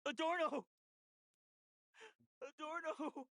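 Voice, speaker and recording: A man's voice: very high-pitched, slow, bright, forceful tone, no echo, clean studio sound, heavily acted. A young man playing a devoted, self-doubting medic in a video game, emotional and faltering. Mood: distraught. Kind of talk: dramatic video game voice acting.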